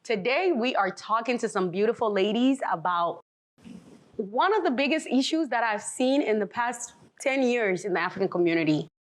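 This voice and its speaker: Woman hosting a chat show, quick friendly delivery, jumps in to help guests finish. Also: fairly high pitch, lively intonation. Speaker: talk show host. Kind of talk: casual panel discussion.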